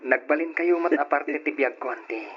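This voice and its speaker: An actor playing a kind, dutiful young nephew in an old radio drama, speaking gently and respectfully. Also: male voice, on the high side, moderate pace, noisy background, natural voice. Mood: consoling. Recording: dull old radio recording.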